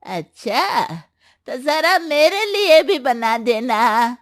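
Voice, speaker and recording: A woman's voice: high-pitched, sing-song, extremely slow, no room sound, studio quality, full character voice. A woman voicing a demanding middle-aged mother-in-law in a cartoon story, commanding and complaining. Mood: bossy. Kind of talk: animated Hindi story voice acting.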